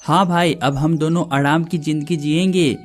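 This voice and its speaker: Man as idle village son, cartoon story, plaintive, scheming, fairly high voice. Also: steady tone, moderate pace, soft background music, lightly acted. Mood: complacent. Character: lazy young village brothers, whining and scheming.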